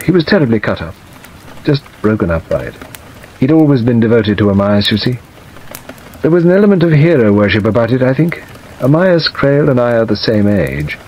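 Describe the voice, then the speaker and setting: Man voicing a plaintive, wavering gentleman, swooping pitch. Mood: sorrowful, confiding. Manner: hesitant, halting, self-qualifying. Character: weak, plaintive middle-aged English gentleman, hesitant, irritable, wistful. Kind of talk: dramatised audiobook reading. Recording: dull audio under steady rain noise.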